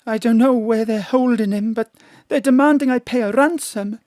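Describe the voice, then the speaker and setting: An actress playing a seductive, melodramatic gangster's widow in a noir-parody audio drama, pleading and flirtatious. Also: female voice, a little high, normal speed, darkish tone, dry recording, clean studio sound, lightly acted. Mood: earnest.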